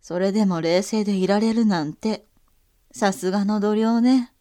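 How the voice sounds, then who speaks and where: A woman's voice: medium pitch, moderate pace, warm tone, natural voice. A woman playing a proud, shrewd noble elder sister in a voice drama, haughty, theatrical and formal. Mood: composed. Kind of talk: voice drama acting.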